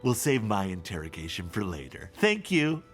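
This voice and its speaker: A man's voice: fairly low pitch, wildly swooping pitch, normal speed, faint music behind. An actor playing an evasive, easily flustered male colleague in an audio drama, with a dramatic, defensive manner. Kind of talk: podcast audio drama acting.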